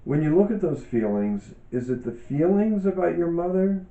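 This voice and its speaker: A man reading reflective self-help teaching aloud in a deliberate, measured way, pausing often between short phrases. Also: on the high side, dark tone. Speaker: author and yoga teacher reading from own book. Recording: dull, echoey room recording.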